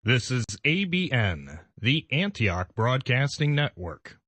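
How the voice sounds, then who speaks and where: Animated male radio host. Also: mid-range voice, slow. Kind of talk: scripted announcing.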